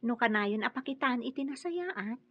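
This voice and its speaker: A woman's voice: on the high side, animated, normal speed, no echo, dull audio. An actress playing a well-meaning, helpful and patient woman in an Ilocano radio drama. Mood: earnest, explaining.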